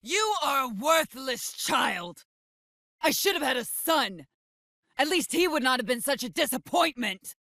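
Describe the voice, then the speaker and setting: Lady doing an angry dad in a horror story, loud, pitched up, scolding, super harsh. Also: very animated, unhurried, no echo, clean studio sound, heavily acted. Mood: contemptuous. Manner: scolding, contemptuous, forceful.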